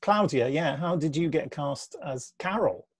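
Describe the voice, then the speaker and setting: A man with a husky, creaky voice casually hosting an interview in a jokey, self-deprecating way. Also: fairly high pitch, expressive, measured pace, no room sound, clean studio sound. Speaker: interview host.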